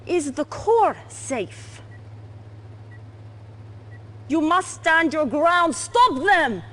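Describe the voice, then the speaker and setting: A woman's voice: extremely high pitch, sing-song, drawn-out words, sharp tone, a little hiss or hum, full character voice. A woman playing a commanding Soviet military officer in a game cutscene. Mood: urgent, alarmed. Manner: urgent, commanding. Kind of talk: 1990s game cutscene acting.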